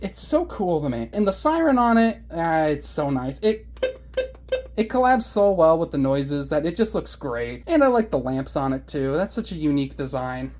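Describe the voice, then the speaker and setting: A woman with a somewhat low-set voice talks through a hobby review in a loose, rambling vlog style. She is animated and enthusiastic, with playful grumbling mixed into her casual delivery. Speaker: hobbyist reviewer of weather radios.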